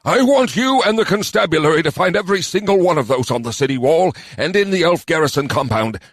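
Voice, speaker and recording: A man's voice: a little high, expressive, brisk, no room sound, studio quality, pitched above the performer's own voice. A man voicing a scheming, irritable goblin mayor in an audiobook, grumbling and giving orders. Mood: scheming, commanding. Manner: grumbling, commanding. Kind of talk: audiobook character voice.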